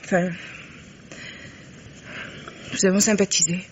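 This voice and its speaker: An actress playing a frightened, defensive young woman accomplice under questioning, halting and insistent, in old French radio mystery style. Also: on the low side, flat delivery. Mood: anxious confession. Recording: muffled, hissy old radio drama recording.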